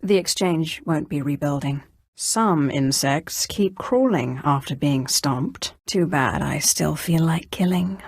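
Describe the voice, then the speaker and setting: Commanding female Sith sorceress, video game villainy, imperious, threatening, deliberate, very dry close recording. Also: low voice, creaky, breathy edge, no room sound, heavily acted. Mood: threatening, disdainful. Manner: haughty, commanding, deliberate delivery. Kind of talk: scripted game dialogue.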